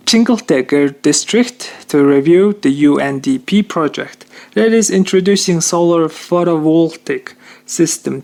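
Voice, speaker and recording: Guy reading the radio news over shortwave, careful and a bit stiff, gravelly voice. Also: fairly high pitch, darkish tone. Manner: careful reading, some non-native slips in phrasing. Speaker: news presenter. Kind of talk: read news bulletin.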